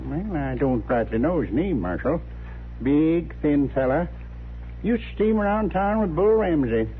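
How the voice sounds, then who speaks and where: An actor playing a chatty, obliging frontier storekeeper in a 1950s radio western, with folksy, rustic phrasing. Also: male voice, a little high, animated, unhurried. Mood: chatty, amused. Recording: tinny old radio recording, faint hum.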